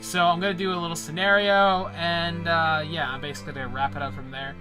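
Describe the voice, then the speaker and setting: A man's voice: a little high, level tone, brisk, music in the background. A young man hosting a casual, joking anime debate, rambling and restarting as he talks. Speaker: channel host.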